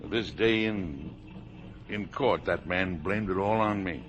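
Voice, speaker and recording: An actor playing a blustery, proud older factory boss and father in a 1950s radio drama, with a gravelly voice. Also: deep voice, takes it slowly. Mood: earnest, proud. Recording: noisy old radio broadcast recording.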